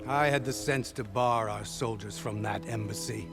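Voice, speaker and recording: An actor playing a proud, stubborn clan commander in a dramatic video game, declaiming in a haughty, deliberate way. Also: male voice, fairly low pitch, music in the background, natural voice.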